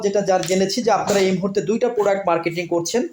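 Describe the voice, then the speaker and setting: Fast-talking Bangladeshi interviewer in an echoey room. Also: mid-range voice, steady tone, darkish tone, a little hiss or hum. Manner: repeats and sums up details to confirm. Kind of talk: question-and-answer product promo.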